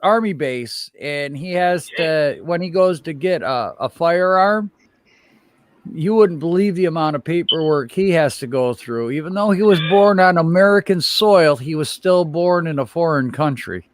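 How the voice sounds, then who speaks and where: Gruff joking man, dry close mic. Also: fairly high pitch, expressive, moderate pace, dry recording. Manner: gruff, joking, tells stories about his wife. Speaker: show host, retired truck driver. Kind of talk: casual chat on a live call.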